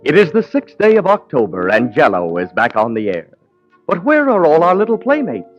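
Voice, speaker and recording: A man's voice: a little high, wildly swooping pitch, moderate pace. A man narrating in mock-grand, theatrical storybook tones in 1940s radio comedy. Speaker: announcer. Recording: dull 1940s radio broadcast with music.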